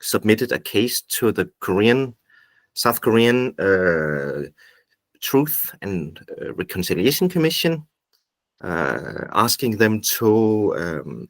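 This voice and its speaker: A man with a Danish accent giving an informal presentation on a video call in a halting, pause-filled way. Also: on the low side, animated, no room sound. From Denmark. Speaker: invited speaker, activist group founder. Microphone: video call microphone.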